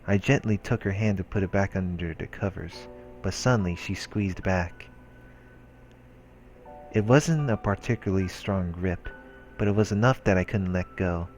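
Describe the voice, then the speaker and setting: A man reading a visual novel aloud as a solemn, hushed narration. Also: low voice. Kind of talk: scripted narration read aloud. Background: game music.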